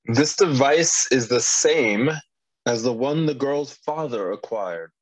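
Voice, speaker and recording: A man playing a theatrical, cautious fire-wielding wizard with deliberate delivery in an animated fantasy table read. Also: mid-range voice, dry recording, rough recording. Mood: measured caution.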